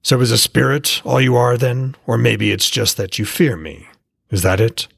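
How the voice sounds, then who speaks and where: A man's voice: mid-range voice, moderate pace, vocal fry, a bit bright, husky, no room sound, studio quality, pitched above the performer's own voice. A man voicing a proud, scornful old war god in an audiobook, speaking in a haughty, commanding way. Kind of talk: audiobook character voice.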